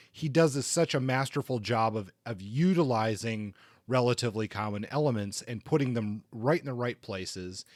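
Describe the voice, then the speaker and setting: A man co-hosting a book discussion, speaking casually in a thoughtful, halting way with repeated words. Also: medium pitch, dry recording, studio quality. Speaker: podcast co-host reviewing crime novels.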